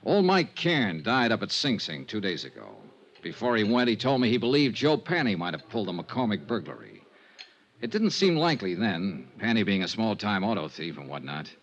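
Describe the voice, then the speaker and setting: Hard-boiled radio detective, animated low voice. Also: male voice, normal speed. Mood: wry, guarded. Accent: American. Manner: clipped, hard-boiled delivery. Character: tough, shrewd freelance insurance investigator, a man. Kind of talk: 1950s radio detective acting and narration. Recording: vintage 1950s radio recording, dull, music behind.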